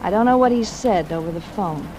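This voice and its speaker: A woman's voice: mid-range voice, expressive, fairly quick, darkish tone, a little hiss or hum, natural voice, rough recording. A woman with an American accent playing an alluring, coaxing femme fatale in dramatic 1940s radio noir style. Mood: anxious, pleading. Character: alluring, pleading femme fatale, gangster's wife.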